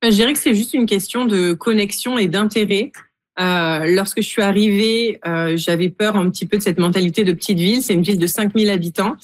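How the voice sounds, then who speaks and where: A woman's voice: medium pitch, brisk. A woman with a strong accent from France, telling her experiences as a radio interview guest with some fillers. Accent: France French.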